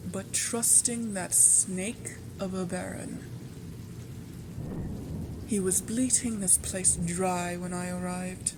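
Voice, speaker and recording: A woman playing a proud vampire baroness in a theatrical ASMR roleplay, speaking in an imperious, deliberate manner with dramatic pauses. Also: mid-range voice, noisy background. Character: proud vampire baroness, menacing yet secretly tender.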